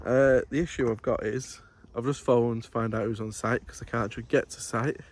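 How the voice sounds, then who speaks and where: A man's voice: mid-range voice, fairly even intonation, a little fast. A man giving a casual, rambling vlog update as a courier driver, in colloquial everyday speech.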